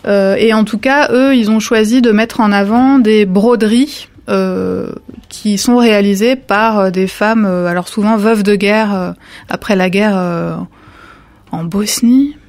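A woman from a gallery's front desk describing artworks in an easygoing chat. She explains at length, filling her pauses with frequent hesitations. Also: medium pitch, steady tone, a little mellow. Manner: many fillers, explanatory. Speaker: museum visitor services staff. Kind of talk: casual conversation.

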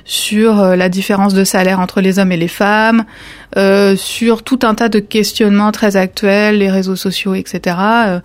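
Woman explaining, many pauses and fillers. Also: medium pitch, fairly even intonation. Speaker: museum visitor services staff. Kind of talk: casual conversation.

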